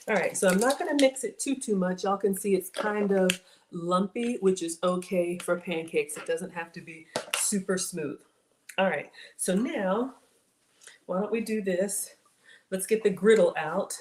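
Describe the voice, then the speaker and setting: A middle-aged woman with a slightly raspy voice, chatting casually to viewers while she cooks in her home kitchen. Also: on the low side, darkish tone. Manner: chatty, talks to viewers as y'all. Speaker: cooking livestream host. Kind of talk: casual live cooking chat with viewers. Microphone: fixed camera at counter. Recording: echoey kitchen with clear background noise.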